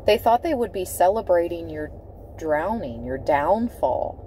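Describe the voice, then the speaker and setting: A woman reads tarot cards from her car, her voice low and mellow. She talks in an unhurried, deliberate way, leaning on her words and repeating them for weight. A faint hum of the car cabin sits behind her. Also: lively intonation. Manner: slow, dramatic, emphatic delivery with repeated phrases. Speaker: tarot reader. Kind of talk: tarot card reading to camera. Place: inside a parked car.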